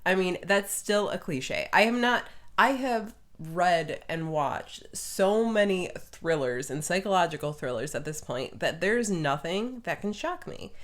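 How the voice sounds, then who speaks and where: A woman's voice: lowish voice, animated, unhurried, few pauses. A woman co-hosting a podcast, chatting casually and giving blunt, skeptical opinions. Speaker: podcast co-host.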